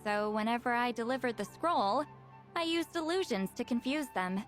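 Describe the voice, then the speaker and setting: A woman's voice: a little high, moderate pace, close to an everyday voice. A woman voicing an aloof, centuries-old sorceress who talks in a reserved, matter-of-fact way. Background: faint game music.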